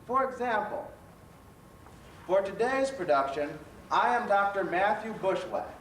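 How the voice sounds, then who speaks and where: A man's voice: high-pitched, animated, unhurried, a bit bright. A man playing a jovial storytelling professor who hosts a children's stage show with slightly stumbling delivery. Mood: genial. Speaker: host. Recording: roomy, distant stage recording with faint noise.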